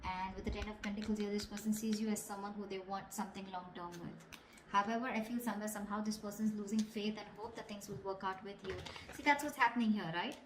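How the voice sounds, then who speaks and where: A woman's voice: medium pitch, fairly even intonation, quick pace. Woman tarot reader in an echoey, noisy room. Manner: conversational, repeats tag questions like okay and you know. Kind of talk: intuitive tarot reading addressed to the viewer. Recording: echoey room, clear background noise, clicks.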